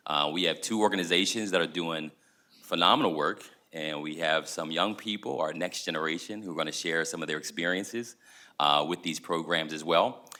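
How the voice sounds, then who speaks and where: A man moderating a conference panel, speaking in a measured, emphatic way. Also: low-pitched, bright, forceful tone.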